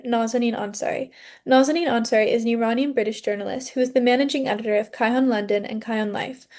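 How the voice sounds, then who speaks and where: A young woman with a fairly high voice hosts the show. She reads an introduction and asks her questions in an earnest, gracious manner. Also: a little mellow. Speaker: host. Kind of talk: scripted introduction and interview questions.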